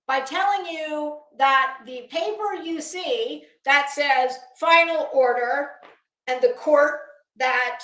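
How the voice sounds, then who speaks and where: Woman, higher-pitched voice, slow deliberate pace, blunt emphatic ranting, swearing, commentary host. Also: a bit bright, reverberant, low-quality recording. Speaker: online commentary video host. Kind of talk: opinionated commentary rant, casual chat.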